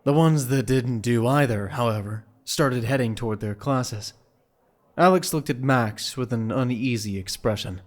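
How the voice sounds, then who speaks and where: A man's voice: mid-range voice, a little slow. A man giving scripted, dramatic story narration for an audio drama.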